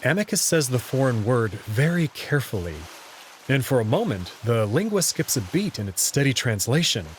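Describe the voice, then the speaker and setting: A man delivering scripted narration and commentary in an expressive, articulate storytelling manner. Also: medium pitch, moderate pace, slight background noise. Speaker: narrator and host.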